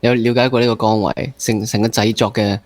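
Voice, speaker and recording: A man with a Hong Kong accent hosting a casual interview, feeling his way through halting phrases with some English words mixed in. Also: lowish voice, flat delivery, no echo. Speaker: interview host.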